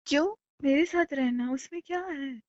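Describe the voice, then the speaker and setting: A woman as a clingy young girlfriend, higher-pitched voice. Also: moderate pace, darkish tone, close to an everyday voice. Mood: stubborn. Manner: insistent, sulky, pleading. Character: clingy, jealous, insistent young girlfriend on a call. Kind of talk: romantic phone-call roleplay, casual Hindi chat. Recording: dull lo-fi phone call recording.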